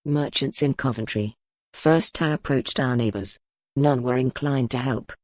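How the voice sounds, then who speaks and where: Woman voicing a low, curt, weary medieval duke. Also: measured pace, a little mellow, no room sound. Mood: guarded accusing. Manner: terse, formal, guarded. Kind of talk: audiobook character dialogue.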